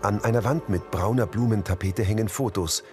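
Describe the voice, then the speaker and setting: A man's voice: on the low side, brisk, darkish tone. A man reading scripted audio description narration for a screen drama, describing scenes as a narrator. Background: background music.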